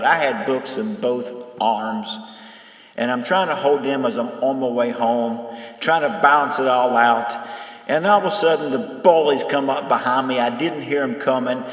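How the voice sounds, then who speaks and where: A man's voice: medium pitch, moderate pace, fairly bright tone, reverberant, long reverb tail, recorded from a distance, natural voice. A man voicing a bullied, bookish teenage boy giving a heartfelt speech, earnest and emphatic, in a dramatized story.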